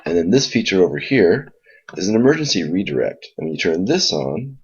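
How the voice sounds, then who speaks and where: Low husky guy walking thru a software tutorial, casual, kinda muffled lo-fi mic. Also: very animated, fairly quick. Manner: informal, explanatory. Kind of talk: casual spoken screen walkthrough. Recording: dull lo-fi mic sound.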